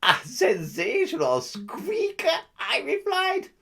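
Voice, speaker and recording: A woman's voice: sits high, wildly swooping pitch, slow, gravelly, a bit bright, exaggerated voice. A woman playing a lazy, boastful self-made guru. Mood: smug. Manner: drawling, mock-grand storytelling with invented words. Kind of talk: comedic character monologue.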